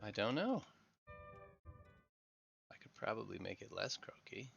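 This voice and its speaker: A man with a croaky voice hosting a casual live game stream, chatting and laughing easily as he builds. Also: lowish voice, unhurried, soft background music. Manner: relaxed, laughing easily while narrating his building. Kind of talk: casual live gameplay chat. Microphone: headset microphone. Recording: dull headset mic sound.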